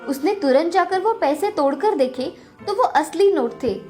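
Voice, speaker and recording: A woman narrates a children's tale from a script. Her voice is very high and highly animated, with expressive storytelling delivery and music underneath. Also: normal speed.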